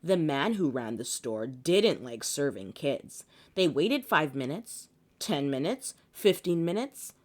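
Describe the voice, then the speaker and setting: Female storybook reader, expressive and theatrical, with swooping intonation for young listeners. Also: low voice, slow. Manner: animated, theatrical delivery. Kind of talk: expressive picture-book read-aloud.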